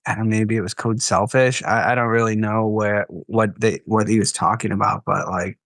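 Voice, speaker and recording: Musing, unhurried podcast man. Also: lowish voice, fairly even intonation, fairly bright tone, no room sound, studio quality. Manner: musing and unhurried, trails off with fillers. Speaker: podcast co-host. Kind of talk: casual chat about an album.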